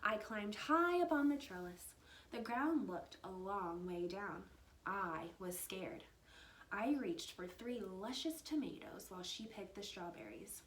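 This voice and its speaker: A woman reads a picture book to young children in an animated, dramatic way, dropping into hushed asides. Her voice sits at a medium pitch. The room adds a noticeable echo, with faint noise underneath. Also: measured pace. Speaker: teacher reading aloud to first graders. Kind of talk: expressive children's storybook read-aloud.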